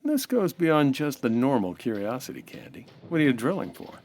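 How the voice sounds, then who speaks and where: A man's voice: mid-range voice, very animated, normal speed, a little mellow, slight background noise. A man playing an easygoing but suspicious homicide inspector in a comedy audio drama, talking in casual, clipped detective slang. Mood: casual, probing. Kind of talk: comedy audio drama acting, hard-boiled 1940s cop.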